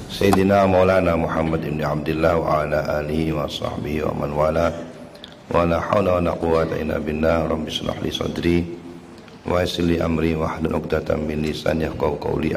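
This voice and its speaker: A deep, low-voiced man preaches in a slow, hoarse voice, his pitch staying flat and even. The sound rings out with long hall reverb, and faint ambient noise sits behind him. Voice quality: slightly coughing. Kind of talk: religious lecture. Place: mosque hall.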